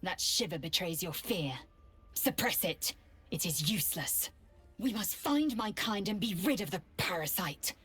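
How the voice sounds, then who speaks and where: A woman playing a stern, haughty alien warrior in a fantasy video game, speaking in a clipped, disdainful, commanding way with a husky rasp. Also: on the low side, animated, unhurried, a bit bright, soft background music, heavily acted. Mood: grim, stern. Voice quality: husky, raspy.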